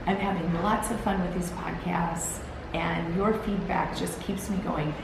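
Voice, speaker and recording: A tired woman with a gravelly, slightly low voice talks earnestly to a camera on a timer. She is encouraging and rambles along, restarting her sentences. The room echoes strongly, with a steady hiss underneath. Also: a little slow. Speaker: host. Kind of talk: solo motivational talk.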